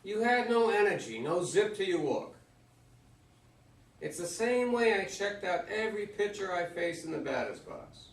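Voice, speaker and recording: Man playing high-voiced old baseball legend, plainspoken. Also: expressive, moderate pace. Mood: reflective. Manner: plainspoken, folksy advice-giving. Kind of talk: staged play reading. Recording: roomy stage, far from microphone.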